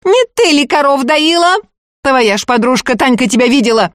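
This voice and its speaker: A woman voicing a furious young peasant woman who hoarsely accuses a rival of witchcraft. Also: high-pitched, lively intonation, a little slow, no room sound, studio quality, voice pushed up. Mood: furious accusing.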